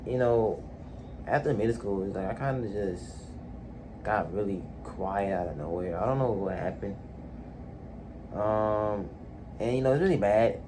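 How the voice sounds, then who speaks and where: A man's voice: fairly low pitch, warm tone, a little hiss or hum, rough recording. A man in his late teens talking alone in his bedroom, hesitant, full of fillers and restarts. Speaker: vlogger. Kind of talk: casual solo talk to camera.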